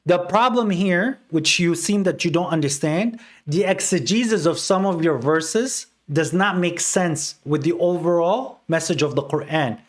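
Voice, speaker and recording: A man's voice: high-pitched, a little slow, hardly any pauses. A man debating in a heated argument, pressing the same short questions again and again. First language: Arabic. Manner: insistent, repeats short questions and fillers. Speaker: debater defending his view.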